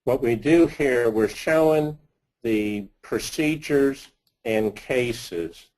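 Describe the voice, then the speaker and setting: A man giving a slide presentation of financial results as a company executive, speaking haltingly in short phrases. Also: mid-range voice, animated, darkish tone, rough recording.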